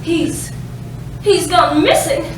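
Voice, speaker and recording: A very echoey, noisy distant recording of a woman acting a noir damsel for the stage. She is high-pitched, dramatic and ditzy, with a sweetly apologetic manner. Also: slow pace. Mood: pleading, coy. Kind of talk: comedic staged reading, film noir parody. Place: live staged reading in a roomy space.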